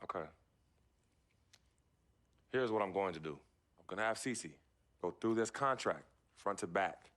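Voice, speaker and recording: A man's voice: deep voice, expressive, unhurried, long pauses, fairly bright tone. A man playing a young, loyal basketball prospect in a naturalistic game cutscene, speaking casually with some hesitation. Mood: calm, respectful.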